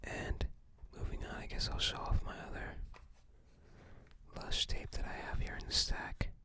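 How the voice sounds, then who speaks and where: Someone doing asmr, all breathy whisper, soft n unhurried, kinda halting as they show stuff off. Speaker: ASMR video creator.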